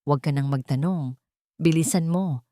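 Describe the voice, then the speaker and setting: A woman narrator voicing a protective, secretive village man, curt and forcing himself to stay calm. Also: deep voice, moderate pace, dry recording, studio quality, lightly acted. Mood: urgent. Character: protective fisherman villager, tense, secretive, urgent.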